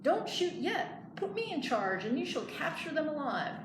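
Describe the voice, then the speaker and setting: Woman acting out an excitable old soldier, high-pitched, echoey. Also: moderate pace. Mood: urgent, commanding. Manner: exclamatory, commanding. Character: excitable, gallant old soldier with a peg leg. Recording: echoey room, clear background noise.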